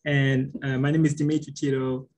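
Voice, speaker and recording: A young man, born in Cameroon and raised in Baltimore, hosting a webinar on a video call in a relaxed, friendly way with frequent fillers. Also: mid-range voice, normal speed. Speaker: webinar host, medical resident. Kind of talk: casual moderated webinar.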